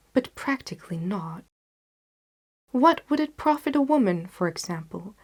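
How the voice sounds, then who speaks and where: A woman's voice: a little high, a little mellow, voice pushed up. A woman playing an intense, disgusted male detective in an audiobook, speaking in an emphatic, declamatory way. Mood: grim, emphatic. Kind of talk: audiobook character dialogue.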